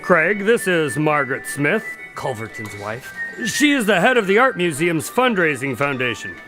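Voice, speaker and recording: A man's voice: fairly high pitch, big pitch swings, normal speed, music in the background. A man acting a genial, courteous doctor hosting a party in a radio drama, polite and a bit fussy.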